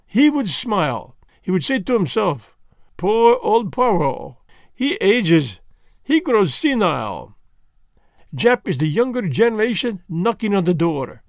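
A man's voice: high-pitched, sing-song, unhurried, dry recording, studio quality, voice pushed up, heavily acted. A man reading the part of a fussy, vain elderly Belgian detective who speaks precisely and drops French words. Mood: gently ironic.

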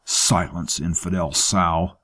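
A man's voice: low-pitched, fairly even intonation, slow pace, a bit bright, full character voice. A man voicing a cold, cruel male cult leader who gives clipped, menacing commands. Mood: commanding.

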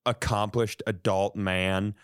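A man's voice: lowish voice, steady tone, takes it slowly, clean tone, no echo, studio quality. A man hosting a comedy podcast, telling casual comic stories in a joking, self-deprecating way with some swearing. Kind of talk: casual comic storytelling and banter.

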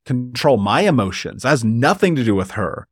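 A man's voice: medium pitch, very animated, moderate pace, husky, no echo, clean studio sound. A man hosting a podcast interview, earnest and motivational, speaking directly to his listeners. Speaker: podcast host. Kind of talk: conversational interview.

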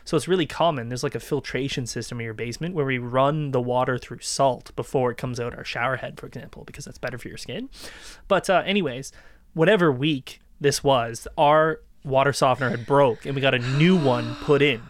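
A man's voice: mid-range voice, fairly quick, no echo. A young man with a Canadian accent, co-hosting a podcast in casual chat, telling anecdotes with a teasing manner. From Ontario, Canada. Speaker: podcast host.

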